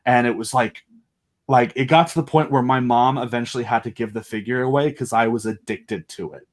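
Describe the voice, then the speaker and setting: A man's voice: mid-range voice, fairly quick. A man from the southern United States chatting casually as a podcast guest, joking and telling personal stories.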